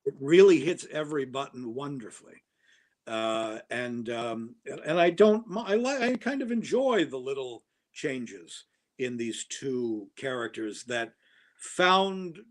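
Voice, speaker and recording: A man speaking at an ordinary mid pitch, chatting casually as a podcast guest. He rambles with enthusiasm, stopping and restarting his sentences, and fills the gaps with ums and uhs. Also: expressive, measured pace. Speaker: guest actor.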